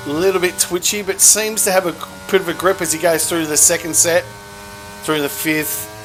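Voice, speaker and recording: A man commentating a hot lap on an online racing broadcast, brisk and cheeky. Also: fairly high pitch, fairly even intonation, music in the background, low-quality recording. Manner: brisk, cheeky and matter-of-fact.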